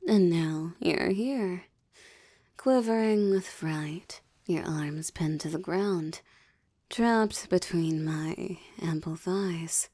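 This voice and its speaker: Woman playing a slow, low, velvety seductive succubus. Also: warm tone, no echo, studio quality, exaggerated voice. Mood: possessive, teasing. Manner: slow, breathy, formal and ornate diction, teasing. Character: seductive, menacing adult female succubus demon, teasing and possessive. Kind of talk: intimate audio roleplay, ASMR-like monologue addressed to listener.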